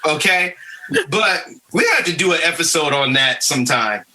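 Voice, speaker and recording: A man's voice: a little high, animated, normal speed, sharp tone, poor audio. An American man from Michigan hosting a casual history podcast, explaining things in an emphatic conversational way. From Michigan, United States. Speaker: podcast host.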